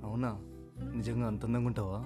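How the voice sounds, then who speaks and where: A man's voice: mid-range voice, little pitch movement, fast, lightly acted. An actor playing an easygoing young city man in a film, coaxing and reassuring. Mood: teasing, coaxing. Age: young adult. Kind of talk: naturalistic film acting. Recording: music bed under film dialogue.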